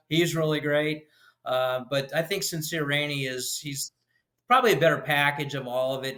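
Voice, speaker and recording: A man chatting about football as a sports guest, talking in a measured, analytical way. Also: mid-range voice, fairly bright tone. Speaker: guest, local sports network game broadcaster. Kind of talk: conversational football talk.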